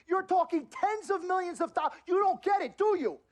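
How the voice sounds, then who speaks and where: A woman's voice: very high voice, lively intonation, brisk, a little mellow. A woman playing a slick, fervent sports agent in a game cutscene, insistent and emphatic, repeating points like a salesperson. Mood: warning. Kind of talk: dramatic persuasive acting in a game cutscene.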